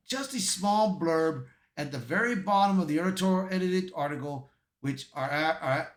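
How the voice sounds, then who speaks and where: A man's voice: on the high side, fairly bright tone. A man giving online commentary, reading out an article with casual asides and stumbling over some words. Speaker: YouTube commentator. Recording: echoey untreated room.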